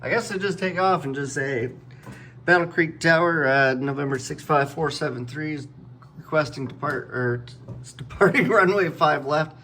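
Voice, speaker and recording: A man's voice: fairly high pitch, normal speed, pushed and bright. A man giving casual hands-on commentary as a flight simulator guide, rambling and thinking aloud. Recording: clearly noisy room background.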